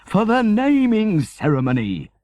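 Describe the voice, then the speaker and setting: A man voicing an excitable, self-absorbed storybook father, jubilant and breathy, drawing out his words. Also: high voice, unhurried, no echo, voice pushed up, full character voice.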